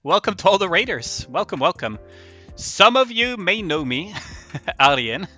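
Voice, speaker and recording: A man chatting casually on a game livestream, telling stories in a rambling, animated way with casual swearing. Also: high-pitched, a little slow. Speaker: live streamer. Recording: music behind the voice.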